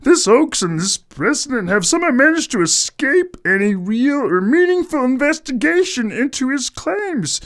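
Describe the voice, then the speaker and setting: A woman's voice: sits high, big pitch swings, normal speed, few pauses. A woman playing a combative, skeptical historian, argumentative and exasperated, in an audio drama. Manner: argumentative, incredulous. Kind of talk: scripted interview in audio drama.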